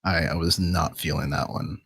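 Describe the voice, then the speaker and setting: A man with a gravelly voice chatting casually as a podcast guest, blunt and drily humorous. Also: sits low, fairly even intonation, moderate pace, a bit bright, dry recording. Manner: blunt, dry humour.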